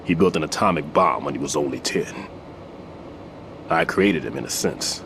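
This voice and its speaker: An actor playing an aging, retired bomb disposal expert in a video game, a weary mentor who explains things in a measured, authoritative way. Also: male voice, a bass voice, animated, background noise. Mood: rueful. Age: older.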